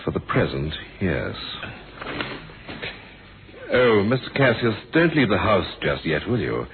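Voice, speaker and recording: An actor playing a courteous, quietly suspicious police inspector, questioning in a measured way in a radio mystery drama. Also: male voice, deep voice, sing-song, full character voice. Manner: measured, politely probing questioning. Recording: noisy, phone-like old radio broadcast recording.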